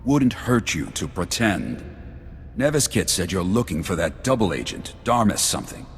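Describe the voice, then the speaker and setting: An actor playing a brusque, grumbling battlefield doctor in a video game, with a wry manner. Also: male voice, sits low, very animated, moderate pace. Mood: curt. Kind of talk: video game cutscene acting. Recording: reverberant hall, faint music.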